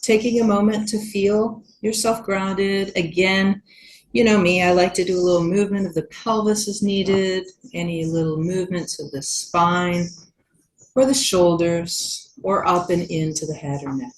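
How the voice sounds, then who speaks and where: A woman yoga teacher leading a guided meditation in a gentle, unhurried manner with long pauses. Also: lowish voice, fairly even intonation, poor audio.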